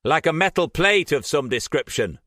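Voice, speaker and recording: A man's voice: on the high side, animated, moderate pace, sharp tone, no room sound, clean studio sound, voice pushed up. A man voicing a driven woman archaeologist who speaks firmly and insistently. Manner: firm, terse and insistent.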